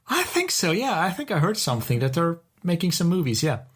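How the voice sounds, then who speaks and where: A man's voice: fairly high pitch, huge pitch swings, fairly quick, husky, soft background music. Enthusiastic middle-aged man chatting casually. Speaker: podcast co-host. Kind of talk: casual chat about TV shows.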